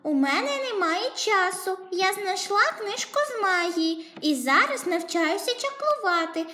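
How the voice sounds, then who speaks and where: A woman voicing a small bookish, bossy but helpful mouse in a squeaky, instructive storybook voice. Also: expressive, a little slow, pushed and bright, heavily acted. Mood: helpful, explaining. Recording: roomy echo.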